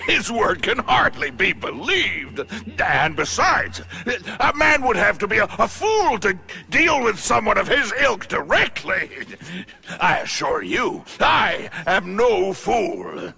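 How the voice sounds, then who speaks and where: A man's voice: sits high, big pitch swings, vocal fry, sharp tone, full character voice. An actor playing a pompous, cunning video game crime boss who poses as a respectable businessman and speaks in haughty, formal phrases. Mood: smug denial. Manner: haughty, formal, deliberate speech. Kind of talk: video game cutscene acting.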